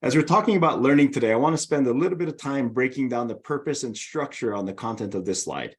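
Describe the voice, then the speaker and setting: A man's voice: medium pitch, quick pace, breathy edge. Presenter, male, middle-aged, Hawaiian accent; friendly and organized, walks through slides. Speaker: webinar presenter. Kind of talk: presentation webinar.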